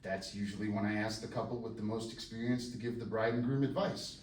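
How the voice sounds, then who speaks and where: A man at a screenplay table read playing a smooth-talking, scheming, sardonic wedding DJ. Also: lowish voice, fairly even intonation, a little fast, a little mellow, close to an everyday voice. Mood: conspiring. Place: festival table read in a room. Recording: roomy, distant mic, faint noise.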